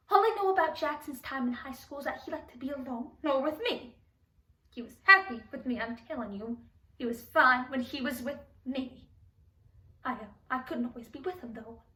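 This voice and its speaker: A woman performing a dramatic monologue as a grieving, defensive mother who dotes on her son, halting and pleading as she speaks. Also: sits high, sharp tone. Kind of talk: dramatic monologue for speech competition. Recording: echoey room, distant microphone.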